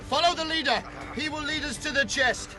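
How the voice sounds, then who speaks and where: A man's voice: extremely high pitch, a little fast, over loud music. A man playing a stern, resolute ranger leader, terse and commanding, in an amateur fantasy audio play.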